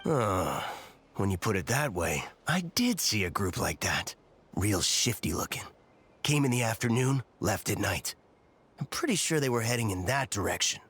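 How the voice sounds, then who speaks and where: A man's voice: on the low side, big pitch swings, moderate pace, a bit bright, slight background noise. A man playing a surly, curt, grudging thug in a video game. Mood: reluctant.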